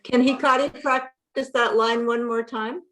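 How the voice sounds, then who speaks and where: A woman's voice: on the high side, fairly even intonation, a little slow, fairly bright tone, poor audio. A woman chatting casually and practically on a video call.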